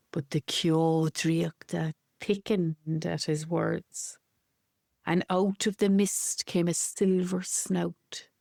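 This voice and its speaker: Slow woman storyteller. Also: on the low side, vocal fry, dark tone, no echo, clean studio sound. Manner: slow, rhythmic, incantatory telling. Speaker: storyteller, co-host. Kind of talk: oral storytelling and conversation.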